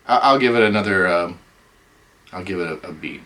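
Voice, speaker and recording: A middle-aged man hosting a casual chat, rambling with many ums, his voice going hoarse. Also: low-pitched, a little fast, sharp tone, rough recording. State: losing his voice. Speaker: host.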